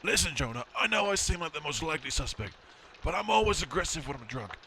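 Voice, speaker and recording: An actor playing a defensive, self-pitying, hard-drinking farmer's son, earnest and insistent, in a comic audio drama. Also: male voice, on the high side, very animated, fast talker, vocal fry, very noisy.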